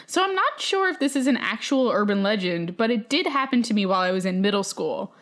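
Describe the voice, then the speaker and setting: A woman's voice: medium pitch, animated, brisk, a bit bright. A woman co-hosting a podcast, chatting casually and reading emails aloud in an informal, slangy way. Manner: informal, slangy, peppers talk with "like". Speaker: podcast co-host.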